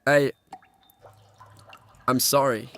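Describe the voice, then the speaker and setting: A man's voice: medium pitch, fairly even intonation, very slow, a bit bright. A man playing a naive, earnest and anxious young man in a horror audio drama, hesitant and easily shaken. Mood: shaken, apologetic. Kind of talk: horror audio drama acting with first-person narration. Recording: clearly noisy background.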